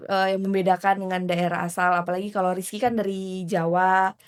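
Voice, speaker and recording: A woman's voice: mid-range voice, little pitch movement, a little fast, clear, resonant tone. A woman hosting a casual interview, chatty, with many fillers and easy laughs.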